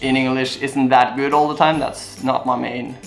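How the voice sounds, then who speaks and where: A man's voice: mid-range voice, flat delivery, normal speed, creaky, faint music behind, poor audio. A young man from Norway with a Norwegian accent, a YouTube vlogger answering viewer questions in a casual, earnest, rambling way. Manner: earnest, rambling, simple phrasing. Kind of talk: casual Q&A talk to camera.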